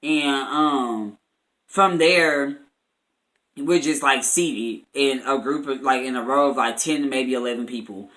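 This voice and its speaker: A man's voice: medium pitch, a little slow, a bit bright, poor audio. A young American man chatting casually as a vlogger, telling a story in a chatty way with slangy filler phrases.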